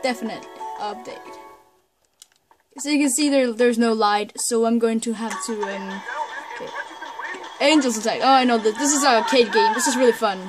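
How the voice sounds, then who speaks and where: A woman's voice: on the high side, normal speed, pauses often, rough recording. A woman giving hesitant, chatty commentary during a blind video game playthrough, reading menus aloud. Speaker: gamer doing a blind playthrough. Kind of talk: casual let's play commentary. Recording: commentary over loud game music.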